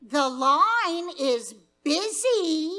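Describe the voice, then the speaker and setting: A woman's voice: high-pitched, sing-song, drawn-out words, sharp tone, full character voice. A woman playing a formal, unmoved 1930s telephone switchboard operator in a live old-time radio drama. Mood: polite, unmoved.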